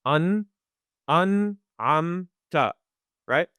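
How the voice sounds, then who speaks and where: A man teaching Quran recitation in a webinar, talking conversationally, often checking in with "right?" and sounding out recitation slowly. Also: a little high, lively intonation, fairly bright tone, clean tone, no room sound. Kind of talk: live webinar lesson.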